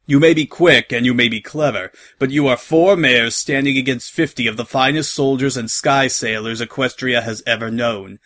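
A man's voice: mid-range voice, fairly even intonation, normal speed, hardly any pauses, a bit bright, natural voice. Guy doing a smug evil pony sky captain for an audio story, taunting, draws his words out all grand. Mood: menacing, confident.

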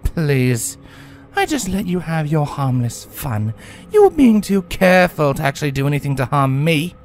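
A man's voice: fairly high pitch, big pitch swings, soft background music, pitched above the performer's own voice. A man doing a purring, mocking mare tyrant. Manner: slow, purring, contemptuous. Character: regal scheming female alicorn tyrant, mocking.

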